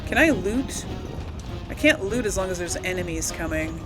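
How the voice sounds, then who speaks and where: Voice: medium pitch, lively intonation, moderate pace. Chatty gamer over game music. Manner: chatty, reacts aloud to the game. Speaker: gaming video host. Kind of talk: casual Let's Play commentary.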